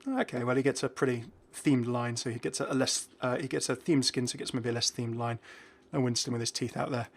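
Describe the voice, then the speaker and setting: A man's voice: mid-range voice, steady tone, quick pace. A man hosting a casual, rambling running commentary on video game content, chatty and full of little tag questions. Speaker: video game reviewer and host.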